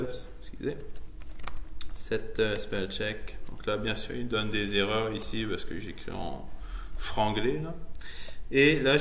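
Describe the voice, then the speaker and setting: Man, casual screen demo, thinking out loud, roomy echo, faint hum, keys clacking. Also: mid-range voice. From immigrant to Canada. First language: not French. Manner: loose, chatty, thinking aloud, many fillers and restarts. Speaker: presenter showing his editor setup. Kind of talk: casual live software demo. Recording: echoey room, faint noise, keyboard typing.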